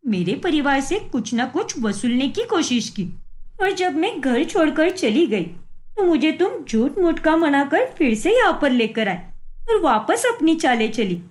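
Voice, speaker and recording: A woman's voice: high-pitched, sing-song, measured pace. A woman voicing an earnest, devoted young wife in a cartoon moral story, speaking emphatically. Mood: indignant. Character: devoted young wife, supportive, righteous when wronged.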